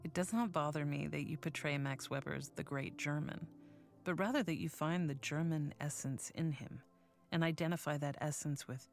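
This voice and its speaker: A woman reading letters as an earnest young woman philosopher, measured and formal. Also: low-pitched, faint music behind. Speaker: reader of letters in a documentary.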